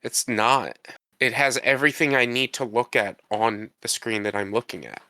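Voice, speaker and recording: A man's voice: mid-range voice, steady tone, moderate pace, pushed and bright, dry recording. A man co-hosting a podcast while congested from a cold, rambling and defensive in casual chat. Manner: rambling, defensive, restarts often. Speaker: podcast co-host.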